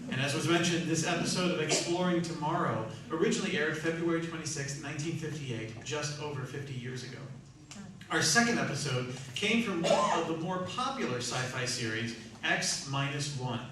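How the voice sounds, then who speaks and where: A man's voice: a little high, normal speed, fairly bright tone. A man hosting a live theatre show, giving a prepared, showmanlike introduction to the audience. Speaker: host of a live radio theatre troupe. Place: large echoey room, live performance. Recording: very echoey room, distant mic, hiss.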